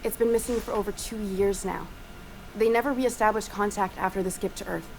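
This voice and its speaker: A woman playing an earnest, guarded research scientist in a video game, speaking in a formal, measured and articulate way. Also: medium pitch, a little hiss or hum, close to an everyday voice, low-quality recording. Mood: guarded, worried. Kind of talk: video game dialogue acting.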